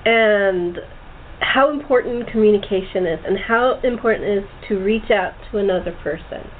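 A woman's voice: medium pitch, normal speed. A woman hosting a casual, chatty group interview as the playwright and director. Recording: tinny phone-like call with hiss.

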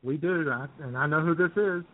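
Husky American radio sidekick man. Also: fairly high pitch, a little fast. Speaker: internet radio co-host. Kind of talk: casual comic radio chat. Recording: tinny, phone-like internet radio audio.

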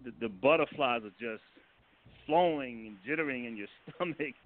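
A man speaks at a middling pitch over a thin, phone-like line. He explains in a casual interview style, halting as he goes and chuckling here and there. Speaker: guest, stage and film director. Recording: narrow phone-line sound.

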